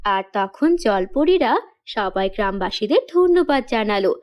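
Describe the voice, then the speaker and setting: A woman narrating a scripted children's story in a dramatic, expressive storyteller's manner. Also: mid-range voice, measured pace, dull audio. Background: background music.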